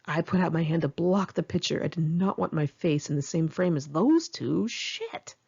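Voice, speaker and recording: A woman's voice: sits low, lively intonation, normal speed, gravelly, a little mellow, breathy edge, muffled recording. A middle-aged woman reading her memoir aloud in one take, candid and wry, with casual swearing. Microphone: home microphone.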